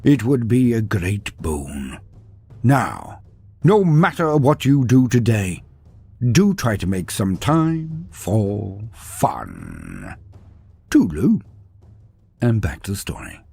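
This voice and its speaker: A man's voice: lowish voice, huge pitch swings, slow, creaky, warm tone, slightly rough, soft background music. A man narrating a scripted fantasy story in a theatrical, florid and whimsical way. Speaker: narrator of fantasy lore stories.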